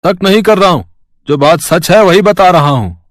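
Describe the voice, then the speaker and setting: A man's voice: sits high, expressive, moderate pace, no room sound, clean studio sound. A man playing a worried, suspicious working-class husband in a cartoon story, earnest and questioning. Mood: suspicious, confronting. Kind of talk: Hindi cartoon story dialogue.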